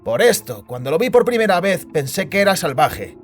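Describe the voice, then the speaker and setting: A slightly high, animated voice for an uneasy, deferential subordinate played by a man in a science fiction audio drama. He argues earnestly but politely. Music sits under the speech. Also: fairly quick. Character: Svets, uneasy, deferential male subordinate recovering in hospital. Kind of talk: scripted Spanish audio drama acting. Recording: music bed behind the voice.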